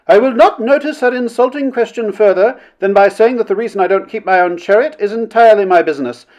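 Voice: medium pitch, fast talker, darkish tone, pitched above the performer's own voice, lightly acted. A narrator voicing a self-important, quarrelsome woman in a story, declaiming with haughty, melodramatic indignation. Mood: pompous indignation.

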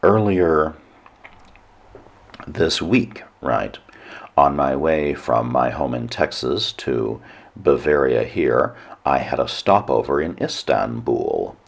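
A hoarse man hosting a weekly radio talk show, giving a measured lecture with dry asides. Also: extremely low pitch, animated. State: hoarse, nearly lost voice. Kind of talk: solo radio talk monologue.

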